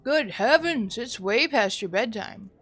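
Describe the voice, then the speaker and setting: A woman voicing a polite, mildly flustered adult who fusses gently, in a visual novel. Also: a little high, animated, measured pace, faint music behind. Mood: concerned.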